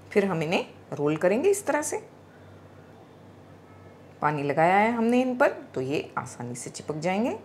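A middle-aged woman talks through a home recipe, guiding the listener from one step to the next. Her voice rises and falls a lot, and she explains things patiently and clearly. She speaks into a small clip-on mic in a home kitchen. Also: fairly low pitch, a little hiss or hum. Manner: calm, instructive, gently explaining. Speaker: cooking channel host.

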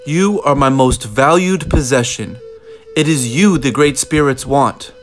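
A man's voice: mid-range voice, unhurried, lightly acted. A man voicing a lonely, devoted small orphan girl in a storybook, earnest and tender. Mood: tender resolve. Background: music.

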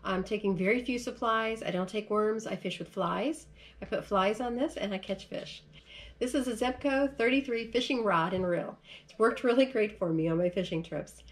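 A woman's voice: medium pitch, moderate pace. A middle-aged woman with an American accent giving a casual demonstration in a chatty, folksy, informal way, as if for her own vlog. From United States. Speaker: vlogger. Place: large furnished room at home, indoors.

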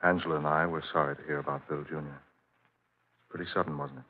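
A man's voice: rumbling low, level tone, quick pace. An actor playing a hearty, bluff family man visiting an old friend, chummy and sputtering, in 1940s radio drama style. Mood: subdued, uneasy. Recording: tinny, noisy old radio recording.

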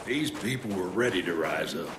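An American actor playing a gruff, cocky, middle-aged CIA field agent in a video game, folksy and condescending. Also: male voice, low-pitched, animated, normal speed, noisy background. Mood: smug, assured. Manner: folksy, condescending, tough-talking. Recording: echoey game scene with battle ambience.

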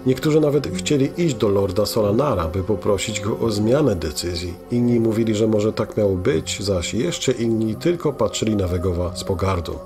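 A man narrating a scripted fantasy audio drama in a measured, dramatic storytelling manner. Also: lowish voice, expressive, music in the background.

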